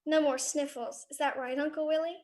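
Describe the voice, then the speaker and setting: Woman as an inquisitive high-voiced little girl. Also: fairly even intonation, a little fast, darkish tone, natural voice. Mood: curious. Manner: innocent, direct questioning. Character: earnest, inquisitive little girl devoted to her cat. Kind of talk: live radio drama acting.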